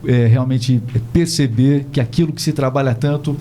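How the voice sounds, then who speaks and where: A man's voice: medium pitch, moderate pace, warm tone, slight background noise. A man hosting a studio podcast interview, chatty and full of tag questions. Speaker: podcast host. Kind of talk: casual interview chat.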